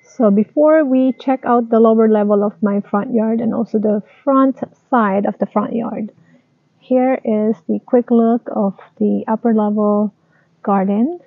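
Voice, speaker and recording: A woman giving a casual tour of her garden, talking in a slow, halting, plain way. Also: fairly high pitch, steady tone, creaky, no room sound. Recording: dry, tinny phone-like sound.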